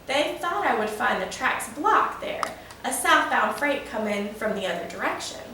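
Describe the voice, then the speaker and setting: A woman's voice: fairly high pitch, very animated, moderate pace, sharp tone, heavily acted. Woman reading as a folksy old locomotive, very echoey. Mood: folksy, recounting. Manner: folksy, eager storyteller. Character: the General, proud folksy old steam locomotive, male. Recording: echoey room, distant mic, faint noise.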